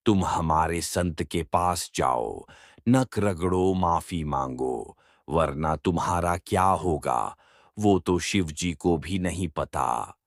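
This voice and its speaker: A man's voice: low voice, expressive, slow pace, no echo, studio quality, full character voice. A man voicing a teasing village friend in a cartoon, emphatic and full of advice. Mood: advising.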